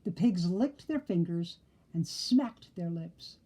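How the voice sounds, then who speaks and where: A woman's voice: lowish voice, lively intonation, slow, warm tone, breathy edge. An older woman reading a children's story aloud expressively, with theatrical, playful emphasis. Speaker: storybook reader.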